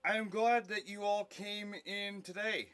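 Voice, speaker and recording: Audio play head of government man acting: British leader, formal and grave, higher-pitched, measured pace. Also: little pitch movement, a bit bright, smooth. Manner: formal, deliberate.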